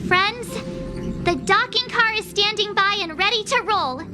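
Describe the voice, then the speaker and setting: A woman's voice: very high-pitched, unhurried, over music. An actress voicing a cheerful, easygoing young girl in a video game story. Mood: wry, upbeat.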